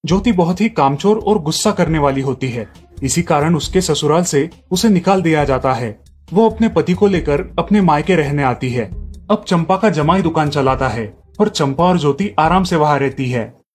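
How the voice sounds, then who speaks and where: A man's voice: a little high, normal speed, husky, soft background music. Man narrating a cartoon story. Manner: steady storytelling.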